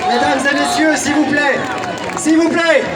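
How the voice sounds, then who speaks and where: A man from Iran addressing and leading a rally as host, loud and emphatic, repeating his phrases. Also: very high voice, steady tone, unhurried, very noisy. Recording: echoey distant PA, loud crowd.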